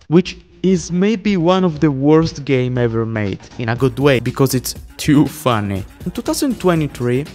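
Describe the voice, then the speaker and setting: A man from Italy with an Italian accent, reading a scripted video narration at a quick pace. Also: a little high, darkish tone. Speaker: YouTube narrator.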